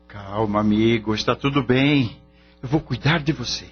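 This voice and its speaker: A man's voice: mid-range voice, normal speed, slightly rough, lightly acted. An actor playing a caring young male veterinarian in a radio drama. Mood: soothing. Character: caring young veterinarian devoted to his dog. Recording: dull lo-fi radio drama with music.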